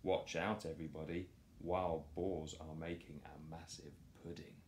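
A man in his thirties reading a picture book aloud to children in a playful, expressive storytelling style. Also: rumbling low, a little slow, a little hiss or hum. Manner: animated, playful delivery. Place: small living room, on a sofa indoors. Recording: roomy home echo.

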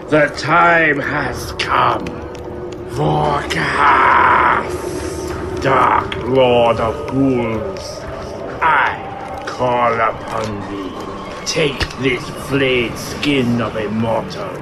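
Casting: grandiose, menacing vampire villain acted by a man, audio drama, unhurried and theatrical, over heavy noise. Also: mid-range voice. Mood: ritual invocation. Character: ancient male vampire sorcerer, cruel, grandiose villain. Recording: loud background noise.

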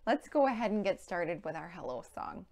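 A woman in her thirties presenting a storytime for young children, with simple, clearly phrased rhymes and counting. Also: fairly low pitch, sing-song, a little fast, darkish tone. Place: indoor room with playhouse backdrop.

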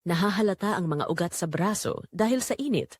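A woman's voice: lowish voice, animated, a little fast, a little mellow, breathy edge, dry recording. A woman as a yearning married mother, very close dry sound. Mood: longing, confessional. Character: Marina, married mother, longing, guilty, secretly tempted. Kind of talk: first-person scripted audio drama narration.

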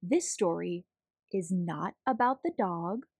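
A woman reading a children's picture book aloud as a storyteller, in a deliberate, expressive, measured way. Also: lowish voice, slightly rough, dry recording, clean studio sound. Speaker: read-aloud storyteller, host.

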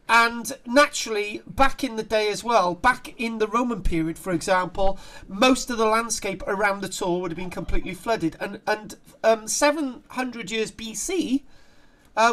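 A middle-aged man with a South Wales accent giving an informal online lecture, chatty and jokey, often halting and wandering off on asides. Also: high-pitched, a bit bright. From Barry, south Wales. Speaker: archaeology lecturer. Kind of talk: informal online lecture, reading aloud and commenting. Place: indoor room, on a group video call.

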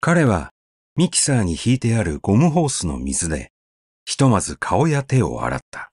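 A man's voice: lowish voice, very animated, normal speed, darkish tone, breathy edge, dry recording, clean studio sound. A man narrating a short story as a scripted audiobook reading, telling it expressively. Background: faint background music.

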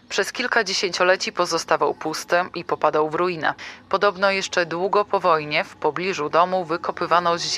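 Bright, sharp, higher-pitched male narrator. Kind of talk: scripted narration, report-like.

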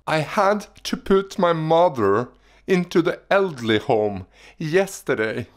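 A man's voice: on the high side, expressive, takes it slowly. A man, speaking from off camera, delivering prompts in a mock-dramatic, pleading way. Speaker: co-host reading prompts. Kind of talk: playful staged chat.

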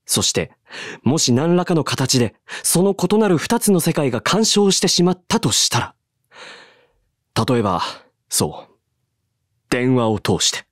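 An actor playing a calm, deadpan male bartender who cracks absurd jokes and explains theories at length, in a voice drama. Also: on the high side, a little slow, pauses often, no echo, studio quality. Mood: dry. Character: calm deadpan male bar master, joking.

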